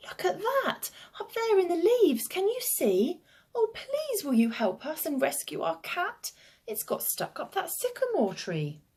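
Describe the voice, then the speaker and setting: Lady being a little storybook kid, high pitched, sweet n pleading, really swoopy. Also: huge pitch swings, a little slow, a little mellow, exaggerated voice. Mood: excited, pleading. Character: eager, pleading, admiring little children, including small boy Jack.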